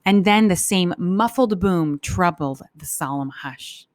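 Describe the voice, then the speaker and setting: A woman's voice: lowish voice, animated, slow, dry recording. A woman teacher reading a story aloud for children and explaining it informally as she goes. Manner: informal, explanatory, acts out thoughts.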